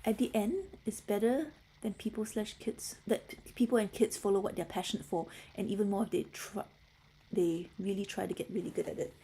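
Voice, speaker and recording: A woman's voice: mid-range voice, fairly even intonation, fairly quick, mellow, slightly rough, poor audio. A middle-aged woman from Singapore hosting a puzzle livestream, chatting casually and answering comments from viewers.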